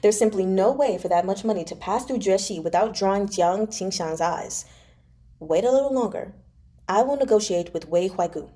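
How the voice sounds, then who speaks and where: Female reader as calculating male adviser in a novel, mellow, composed, persuasive. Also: medium pitch, lightly acted. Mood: measured. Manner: measured, reasoning, unruffled. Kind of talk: dramatic novel read-aloud.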